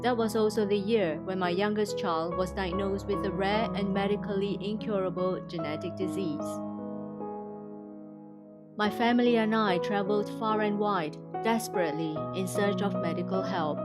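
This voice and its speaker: A woman from Indonesia reads a scripted inspirational testimony in a formal, measured way. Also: medium pitch, over loud music. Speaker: testimony speaker.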